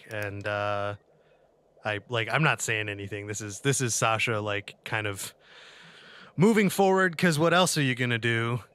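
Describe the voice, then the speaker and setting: Bright, clear-voiced man playing a tabletop game. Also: lowish voice. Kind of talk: casual improvised game chat.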